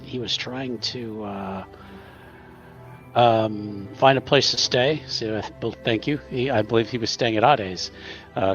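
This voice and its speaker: A man's voice: fairly low pitch, a bit bright. A man chatting casually as a player in a tabletop game, hesitant and trailing off, on a dull microphone. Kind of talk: casual unscripted game chat. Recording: dull, band-limited microphone sound.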